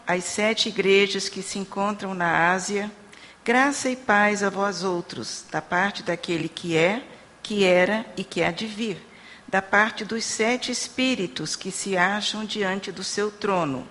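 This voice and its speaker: Woman interpreter in a reverberant church hall. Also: medium pitch. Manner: steady, measured reading of scripture. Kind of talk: consecutive interpreting and scripture reading. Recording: long hall reverb with faint room noise.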